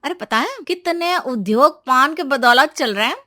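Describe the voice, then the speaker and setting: A woman voicing a sassy, argumentative young daughter-in-law in an animated family comedy, talking back in a rapid, insistent way. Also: high voice. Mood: indignant.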